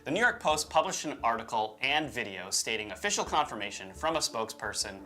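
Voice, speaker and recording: A man's voice: medium pitch, big pitch swings, fairly quick, bright, forceful tone. A man co-hosting a UFO show with informal, opinionated conversational commentary. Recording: music behind the voice. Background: background music.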